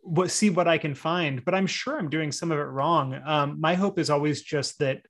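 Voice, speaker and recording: A man's voice: fairly high pitch, normal speed, a bit bright. A man in his forties, a writer guest chatting in a laid-back, rambling way, laughing often and using surfer slang. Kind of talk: casual podcast conversation.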